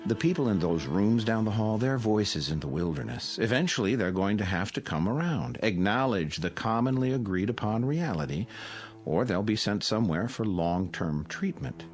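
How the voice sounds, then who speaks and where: Deep-voiced, condescending psychiatrist. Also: male voice, animated, faint music behind. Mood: veiled threat. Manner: measured, patronizing, intellectual. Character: calm, condescending male psychiatrist at a mental institution. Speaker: actor.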